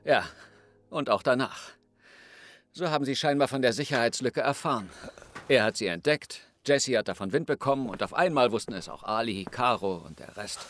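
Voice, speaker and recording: A man's voice: medium pitch, animated, moderate pace, a bit bright, close to an everyday voice. A man playing an overwhelmed youngish schoolteacher in an audio drama, hesitant and self-justifying. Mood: defensive. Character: youngish male teacher, embarrassed, defensive, overwhelmed. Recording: music behind the voice.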